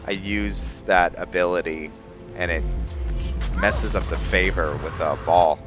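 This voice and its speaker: A man's voice: sits low, steady tone, unhurried. A man doing casual commentary over a roleplay video game, muttering short reactions and talking to his viewers. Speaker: gaming video host playing and commentating. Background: game music.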